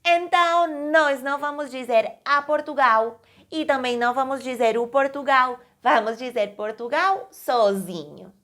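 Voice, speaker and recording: A woman teaches in an unhurried, deliberate way. Her voice sits on the high side, and she spells out each word clearly for learners. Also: a bit bright. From Colombia. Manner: slow, careful, clearly enunciated teaching. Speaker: Portuguese teacher. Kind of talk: online language lesson.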